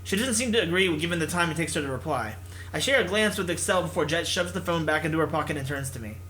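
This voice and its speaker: Bright, higher-pitched man reading aloud. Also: expressive, quick pace, slight background noise, low-quality recording. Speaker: let's play host reading a visual novel aloud. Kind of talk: expressive read-aloud narration with casual asides.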